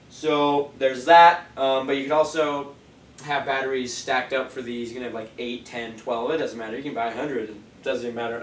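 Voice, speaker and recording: A man's voice: mid-range voice, distant mic, slight background noise. Sniffly man reviewing speakers, echoey room. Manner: rambling, loose, many fillers. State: stuffy nose, sniffly. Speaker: product reviewer. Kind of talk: casual product review.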